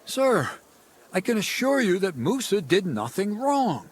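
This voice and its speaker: Earnest medieval soldier, rough husky tone, animated pitch, insistent, respectful, video game drama. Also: male voice, measured pace, exaggerated voice. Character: earnest, loyal medieval military officer defending a comrade.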